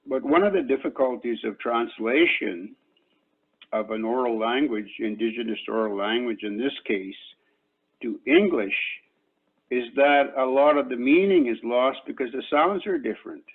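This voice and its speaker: An older man whose first language is Cree, an Elder from Canada, giving a reflective talk to an online panel in a slow, measured way with many pauses. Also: medium pitch, slightly rough. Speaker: Elder and invited speaker. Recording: tinny phone-like call audio.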